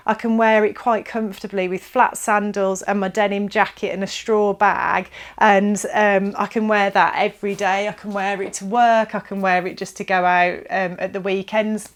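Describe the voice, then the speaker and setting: A woman presenting a casual review video for viewers. Her voice sits at an ordinary middle pitch, and she talks in a chatty, enthusiastic way, as if sharing her favourites informally. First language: English. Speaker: presenter of a sewing shop's pattern review video.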